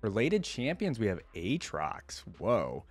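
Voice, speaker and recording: A man with a fairly low voice hosts a reaction video. He sounds informal, moving between reading aloud and thinking out loud. The sound is dry, with very little room around the voice. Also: wildly swooping pitch, takes it slowly, no room sound. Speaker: host of a reaction channel. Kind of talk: casual reaction commentary mixed with reading aloud.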